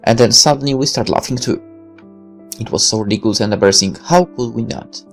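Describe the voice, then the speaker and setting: A man reading a first-person visual novel aloud as narrator, dramatic and deliberate, with halting pauses. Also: mid-range voice, faint music behind.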